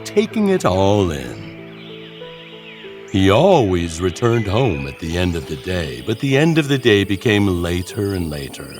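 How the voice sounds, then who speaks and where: A man narrating a scripted horror story in a dramatic, deliberate storytelling manner. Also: lowish voice. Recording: music bed under the voice. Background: music.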